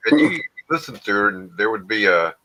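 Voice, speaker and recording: A man's voice: on the low side, very animated, a little fast, sharp tone, low-quality recording. A man chatting casually as a panelist, sharing his recollections. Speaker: panelist on a pop culture hangout show. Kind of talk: casual chat.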